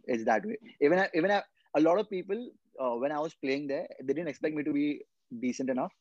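A man's voice: on the high side, quick pace, a little mellow, no room sound. A young man from India, a guest footballer in a casual podcast chat, explaining earnestly and often repeating his words as he thinks. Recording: dull lo-fi podcast mic.

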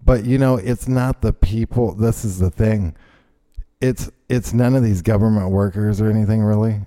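Gruff dark-voiced man ranting. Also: fairly low pitch, fairly even intonation, normal speed. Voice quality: profane, rambling. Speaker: podcast host. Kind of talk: heated political chat.

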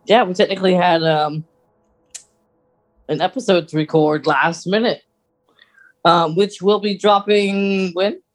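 A man's voice: high-pitched, fairly even intonation, measured pace, pauses often. A man co-hosting a podcast in a casual, conversational style. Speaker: podcast co-host.

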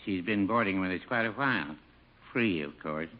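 An actor playing a mild, fussy older small-town shopkeeper in a radio comedy, with an American accent and a dry, hemming manner. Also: male voice, low-pitched, animated, moderate pace. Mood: mildly coaxing. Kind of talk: 1950s radio situation comedy acting. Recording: tinny, noisy old 1950 radio recording.

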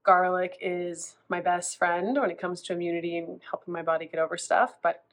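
A woman's voice: lowish voice, level tone, brisk. A woman in her thirties, a food coach, chatting casually in a vlog, a bit congested from a cold and rambling with restarts.

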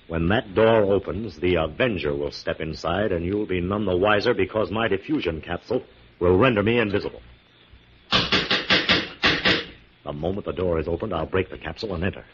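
Man playing a deep-voiced resolute radio hero. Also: fast talker, natural voice. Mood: determined. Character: confident, resolute crime-fighting hero. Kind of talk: 1940s radio acting. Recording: muffled, noisy 1940s radio recording.

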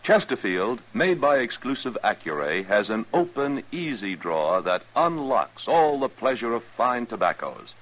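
A man's voice: medium pitch, big pitch swings, normal speed, hardly any pauses, fairly bright tone. A man reading a 1950s radio advertisement as an announcer, with a polished, emphatic sales delivery. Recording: muffled old radio recording.

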